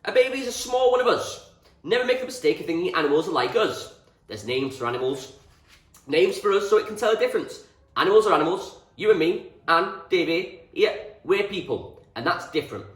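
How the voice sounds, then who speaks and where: A man's voice: a little high, big pitch swings, moderate pace, a bit bright, voice pushed up. A man voicing a jovial, opinionated country farmer in a storybook, speaking emphatically and repeating himself for effect. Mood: amused, lecturing. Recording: roomy echo, distant from the mic.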